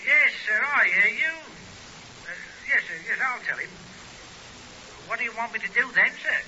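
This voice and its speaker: An actor playing a flustered, deferential provincial police sergeant, hesitant and over-polite, in a 1950s radio drama. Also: male voice, very high voice, lively intonation, moderate pace, bright, forceful tone, exaggerated voice. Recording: muffled, hissy 1950s radio recording.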